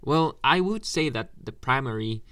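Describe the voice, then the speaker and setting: A man playing a flirtatious male anime teacher in an ASMR roleplay, coy and teasing, murmuring to the listener with hesitant pauses and pet names. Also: mid-range voice, lively intonation, fairly bright tone, no room sound, close to an everyday voice. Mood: puzzled, patient.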